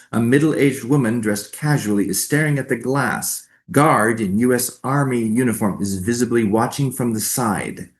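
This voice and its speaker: Medium-pitched man reading stage directions. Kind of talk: scripted narration at a staged reading.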